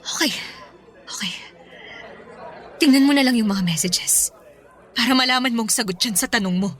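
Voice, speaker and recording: A woman's voice: fairly high pitch, moderate pace, fairly bright tone, faint music behind, some chatter behind, lightly acted. A woman playing an emotional, defensive young girlfriend in a Filipino radio drama, pleading and exasperated. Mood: reluctant, pleading. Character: defensive, distressed young girlfriend confessing compulsive spending.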